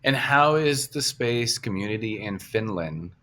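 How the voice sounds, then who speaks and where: Bright-voiced male podcast host. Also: fairly low pitch, lively intonation, unhurried, slight background noise. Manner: rambling multi-part questions with restarts. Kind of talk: casual interview hosting.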